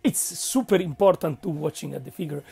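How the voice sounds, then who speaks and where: Gravelly Italian-accented man teaching painting. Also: on the high side, warm tone. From Italy. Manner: halting, searching for words, frequent "okay?". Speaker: miniature painting instructor. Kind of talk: narrated painting tutorial.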